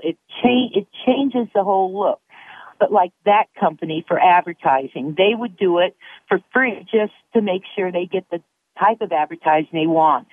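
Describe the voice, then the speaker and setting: A woman's voice: medium pitch, no room sound. Talkative woman guest on phone-thin audio. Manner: talkative, explains with examples. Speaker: product placement guest. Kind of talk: casual radio interview. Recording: narrow phone-like line.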